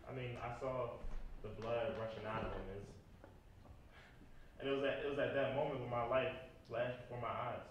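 A young man acting in a stage play as an earnest, reformed former street hustler, speaking in a halting, confiding way. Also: mid-range voice, fairly even intonation, lo-fi sound, a little hiss or hum, natural voice. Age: young adult. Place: indoor theater stage. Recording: very echoey stage from far off.

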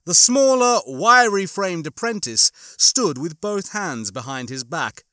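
A man reading a horror novel as an audiobook narrator, in a dramatic, emphatic style with drawn-out phrases. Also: high-pitched, expressive, bright, forceful tone, no echo, studio quality. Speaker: audiobook narrator and channel host.

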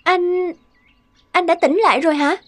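A woman voicing a shy, kind young forest woman in a fairy-tale cartoon, gentle and hesitant. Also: extremely high pitch, steady tone, normal speed. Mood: caring.

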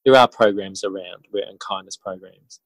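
A man answering as an interview guest in a casual, halting way, thinking aloud as he goes. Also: deep voice, dry recording, rough recording. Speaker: interview guest, author and educator.

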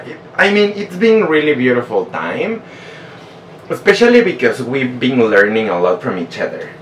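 A man's voice: mid-range voice, fairly bright tone. A man in his thirties, a native Spanish speaker with a Mexican accent, chatting casually as a vlogger. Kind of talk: casual chat to camera. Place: small bedroom indoors. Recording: roomy echo, distant mic, faint noise.

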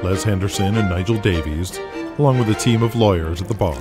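A man narrating an audio drama in a scripted, steady storytelling manner. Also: lowish voice, a little fast, over music.

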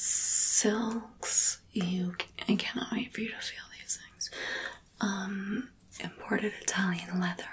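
A young woman speaking in a soft, breathy whisper as a wardrobe fitter in an ASMR roleplay, gentle, unhurried and reassuring, close to the mic. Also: medium pitch, low-quality recording. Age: young adult. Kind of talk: soft-spoken ASMR roleplay, personal attention.

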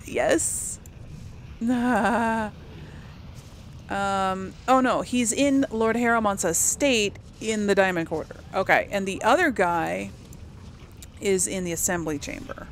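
Woman doing a casual let's play, slow chatty rambling, lots of vocal fry. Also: mid-range voice, a little hiss or hum. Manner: thinks aloud, hesitant, chatty. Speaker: gaming video host.

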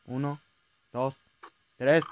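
A young man with a Chilean accent commentating a horror game, yelling at jump scares and rambling between them. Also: medium pitch, fairly even intonation, drawn-out words, a little hiss or hum. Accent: Chilean Spanish. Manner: jumpy, shouting at scares, rambling asides. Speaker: gaming youtuber. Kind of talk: let's play commentary.

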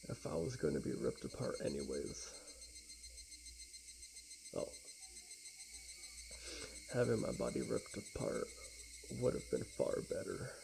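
Gravelly young man, quiet game streamer. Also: a bass voice, very animated, measured pace, pauses often, noisy background. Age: young adult. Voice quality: gravelly, quiet, often breathy. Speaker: let's play streamer. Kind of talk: casual gameplay chat and soft reading aloud of game text. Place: small indoor room with blinds.

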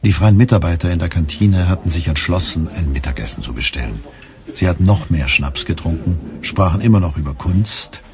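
A man's voice: low voice, expressive, fairly quick, crowd noise. A man reading scripted literary narration for a radio play.

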